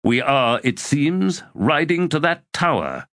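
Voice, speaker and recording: A man's voice: fairly high pitch, very animated, slow, a bit bright, no echo, studio quality, voice pushed up, exaggerated voice. A man voicing Torrent, a weary and defiant young male warrior, in an audiobook reading.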